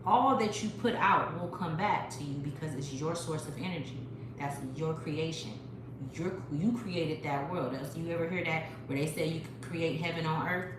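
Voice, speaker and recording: A woman's voice: low-pitched, moderate pace. A woman hosting a spiritual livestream, talking straight to her viewers in a profane, preachy way on a phone. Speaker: livestream host. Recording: very echoey room with noise.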